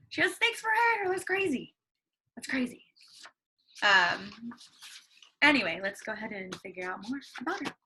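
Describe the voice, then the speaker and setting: A woman's voice: a little high, bright, forceful tone, poor audio. A woman teacher reading a story aloud to her class, chatty, with lots of fillers and questions to the children.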